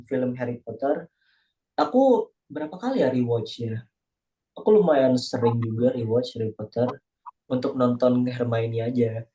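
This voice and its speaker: A man's voice: mid-range voice, mellow, poor audio. A young man with an Indonesian accent chatting in a casual online class discussion, joking and self-deprecating. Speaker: class participant. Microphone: video call.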